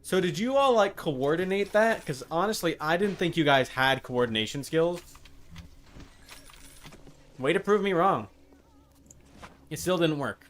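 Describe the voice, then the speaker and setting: A man's voice: fairly high pitch, lively intonation, a little slow, long pauses, a bit bright. A man doing casual live video game commentary, talking wryly to himself and to the game's enemies. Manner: talks to self and game enemies, wry.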